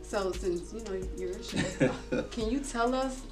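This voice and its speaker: A woman hosting a casual interview podcast, mid-pitched and conversational, laughing easily and filling gaps with "you know". She sounds set back from the microphone, with music clearly playing under the talk. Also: unhurried, recorded from a distance. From South Florida. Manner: friendly, laughs often, chatty with fillers. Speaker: podcast host.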